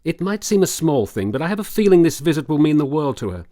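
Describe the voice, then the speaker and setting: A man's voice: fairly high pitch, very animated, fast, mellow, no echo, clean studio sound. A man playing a learned, courteous army doctor in a period radio drama, formal, articulate and theatrical. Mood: kindly.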